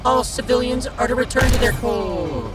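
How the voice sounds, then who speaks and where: A woman voicing a calm starship computer in a sci-fi audio drama, giving clipped announcements. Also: a little high, moderate pace, loud music behind the voice, natural voice. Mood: impassive.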